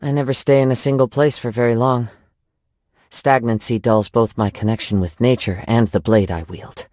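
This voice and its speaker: A man acts out a young wandering samurai from a video game, gentle and wistful. His voice sits at a middle pitch, with soft, measured, reflective delivery and formal wording. The sound is close and dry, with no room on it. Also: fairly even intonation, dry recording, natural voice. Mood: serene, reflective. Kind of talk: video game character voice lines.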